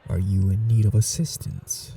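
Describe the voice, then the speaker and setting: A man voicing an ancient royal vampire lord in an ASMR roleplay, suave and flirtatious, speaking slowly with low breathy whispers. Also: level tone, distant mic. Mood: seductive. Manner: slow, seductive, softly whispering.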